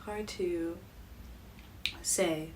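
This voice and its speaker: A young woman giving a casual psychic reading to her viewers, musing and dreamy, with soft giggles. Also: lowish voice, fairly even intonation, very slow, noisy background. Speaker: psychic reader channeling messages. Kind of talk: casual spiritual reading to camera.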